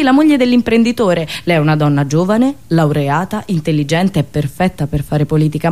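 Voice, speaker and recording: A woman performing a satirical storytelling monologue, dramatic and ironic, with pauses and drawn-out words. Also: fairly low pitch, a little mellow. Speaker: radio host and monologist.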